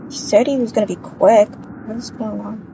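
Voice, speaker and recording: A woman's voice: mid-range voice, steady tone, normal speed, gravelly, natural voice. A woman playing an impatient young mother in a casual improvised game roleplay, with a slangy, grumbling way of talking. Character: impatient young mother, complaining to her partner. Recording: dull lo-fi game capture, faint noise.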